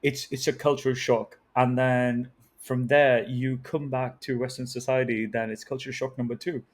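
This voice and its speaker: A man's voice: medium pitch, level tone, normal speed. A man hosting an interview podcast, speaking in a friendly way and often murmuring agreement. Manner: friendly, frequent affirming murmurs. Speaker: podcast host.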